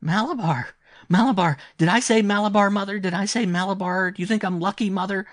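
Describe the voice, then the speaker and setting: Guy doing a feverish little boy from an audiobook, high loud voice, super urgent and worked up. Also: brisk, no room sound, clean studio sound, natural voice. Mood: feverishly excited. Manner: urgent, crying out, insistent. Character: feverish, frantic, excitable young boy. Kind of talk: dramatised audiobook reading.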